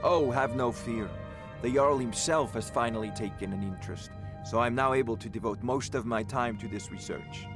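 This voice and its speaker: An actor playing a haughty, condescending court wizard in a fantasy video game, pompous and pedantic. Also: male voice, medium pitch, moderate pace, lightly acted. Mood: eager, absorbed. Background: music.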